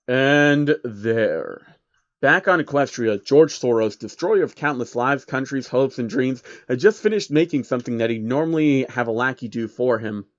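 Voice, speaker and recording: A man's voice: mid-range voice, no echo, dull audio. A man reading fan fiction aloud as narrator, with casual asides.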